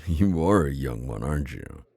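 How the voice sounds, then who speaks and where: Guy doing a friendly old vampire innkeeper, asmr roleplay, super low creaky voice, chatty and reassuring, really close dry sound. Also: expressive, normal speed, darkish tone, dry recording, exaggerated voice. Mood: reassuring, wise. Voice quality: deep, gravelly. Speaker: roleplay audio performer. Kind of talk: immersive ASMR roleplay acting.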